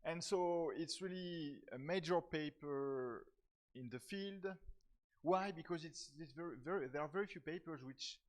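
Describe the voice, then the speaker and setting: A man's voice: a little high, measured pace. Hesitant French-born male professor giving a talk. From France. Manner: hesitant, repeats words and uses many fillers. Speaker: professor of software technology. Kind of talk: conference talk. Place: conference hall.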